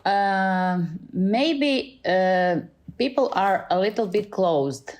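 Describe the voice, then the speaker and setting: A woman's voice: medium pitch, lively intonation, extremely slow, a little mellow. A middle-aged Croatian woman with a Croatian accent, a school librarian answering podcast questions in English with many fillers and restarts, recorded in a school library. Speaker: school librarian and Italian teacher, guest. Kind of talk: podcast interview.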